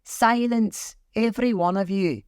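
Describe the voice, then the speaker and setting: A man's voice: high-pitched, unhurried, smooth, no room sound, clean studio sound, pitched above the performer's own voice. A man voicing a passionate, bossy female tiger lily in a storybook reading. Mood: imperious. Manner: imperious, excitable.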